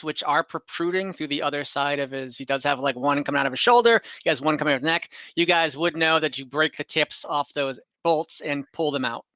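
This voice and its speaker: A man with a bright, slightly high voice improvises as game master for an online fantasy game. He talks casually and haltingly, in a dry, slightly muffled recording. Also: clear, resonant tone, no room sound.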